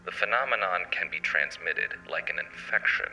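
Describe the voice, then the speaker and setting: A man playing a calm official announcer on an emergency information tape, with measured, clinical delivery. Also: sits low, faint music behind, heavily acted, poor audio. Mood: grave, matter-of-fact. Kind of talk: scripted public-information narration.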